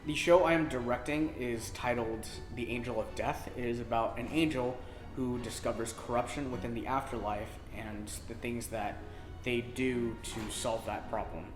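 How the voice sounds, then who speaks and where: A man's voice: medium pitch, fairly even intonation, normal speed. A man answering interview questions as a play's director and writer. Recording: echoey room, music behind.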